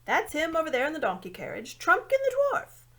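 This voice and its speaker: A woman's voice: sits high, wildly swooping pitch, pushed and bright, voice pushed up, exaggerated voice. A woman voicing a fussy, sleepy, short-sighted male owl in an audiobook, hooting and drawing out her words. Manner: hooting, drawn-out and fluttery. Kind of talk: audiobook reading.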